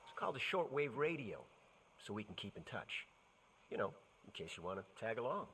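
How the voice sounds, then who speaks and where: An actor playing a roguish, teasing adventurer in a video game, with wry delivery and folksy old-fashioned slang. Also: male voice, on the low side, big pitch swings, brisk, a bit bright, slight background noise. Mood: coaxing. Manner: wry, playful. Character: roguish, teasing adventurer man with frontier swagger. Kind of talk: video game cutscene acting.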